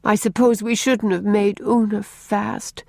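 A woman's voice: high-pitched, animated, normal speed, no room sound, studio quality, pitched above the performer's own voice. A woman voicing an earnest young girl, breathless and pleading, in a storybook reading. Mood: remorseful. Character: earnest, remorseful young girl, eldest sister explaining herself.

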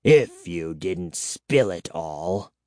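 A man voicing a cold, imperious old vampire count with soft menace in a storybook reading. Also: low voice, animated, very slow, no echo, clean studio sound, pitched below the performer's own voice, exaggerated voice. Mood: bitter, hissing.

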